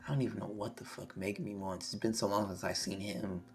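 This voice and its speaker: A hoarse young man on a live stream, reading a manga chapter aloud and reacting excitably, swearing freely. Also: lowish voice, quick pace. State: hoarse, losing his voice. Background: faint music.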